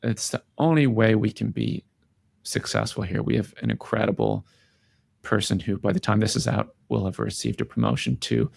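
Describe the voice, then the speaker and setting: A man's voice: on the low side, lively intonation, moderate pace, gravelly, darkish tone, slightly rough, no echo. A man giving earnest, reflective and emphatic answers as a guest in a conversational interview. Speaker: interview guest, tech company founder and CEO.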